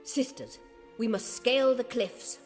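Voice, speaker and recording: An actress voicing a brisk, commanding sorceress in a video game, with a crisp, wry, authoritative manner. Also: female voice, a little high, soft background music, close to an everyday voice. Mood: impatient, commanding. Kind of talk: dramatic video game dialogue.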